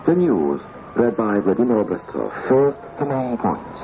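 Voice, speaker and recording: A man's voice: medium pitch, sing-song, normal speed, narrow, tinny audio. A man reading a formal scripted radio news bulletin as a newsreader on 1980s shortwave. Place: radio studio, heard over shortwave. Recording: noisy band-limited 1980s shortwave radio.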